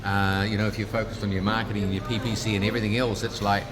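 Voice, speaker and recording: A middle-aged man with a New Zealand accent, a business founder making an upbeat, punchy pitch in a casual interview. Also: flat delivery, very fast, a crowd in the background. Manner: upbeat, punchy salesman delivery. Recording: background music, trade fair.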